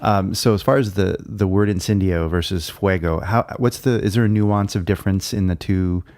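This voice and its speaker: A man's voice: low voice, fairly even intonation, normal speed, darkish tone. A man co-hosting a casual interview, asking questions and making playful jokes. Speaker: podcast co-host.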